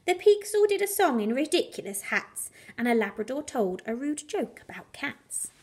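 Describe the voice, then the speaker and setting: A woman reading a children's bedtime story aloud in an animated, expressive way with dramatic emphasis on the rhymes. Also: high-pitched, normal speed, breathy edge. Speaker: primary school teacher reading a bedtime story.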